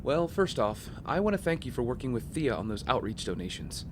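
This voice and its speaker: An actor playing a wealthy young heir, polite, smooth-talking and guarded, in audio drama dialogue. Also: male voice, mid-range voice, very animated, brisk, slight background noise, lightly acted. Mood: earnest, persuasive.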